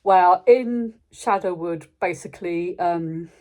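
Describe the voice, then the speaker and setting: A woman's voice: fairly low pitch, takes it slowly, dark tone. A British woman chatting casually and unscripted as a channel host giving a review, in an informal, rambling way, often interrupting herself.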